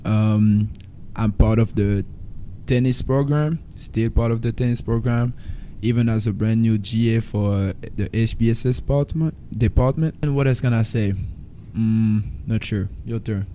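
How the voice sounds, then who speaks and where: Mellow young man, French Caribbean accent. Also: lowish voice, measured pace, a little hiss or hum. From Guadeloupe. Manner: relaxed, hesitant, many fillers. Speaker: podcast co-host. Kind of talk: casual chat.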